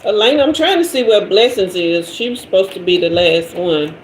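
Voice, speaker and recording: A woman's voice: mid-range voice, expressive, moderate pace. A woman in her fifties hosting a tarot livestream, chatting loosely with viewers in a slangy, conversational way. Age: 50s. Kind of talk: casual livestream chat reading comments. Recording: roomy echo with faint noise.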